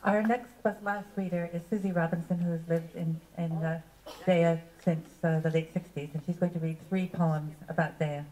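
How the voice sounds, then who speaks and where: A woman introduces a reader at a live event. Her voice is fairly low and dark in tone, as she presents the next person to the audience. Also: fairly even intonation, normal speed, poor audio.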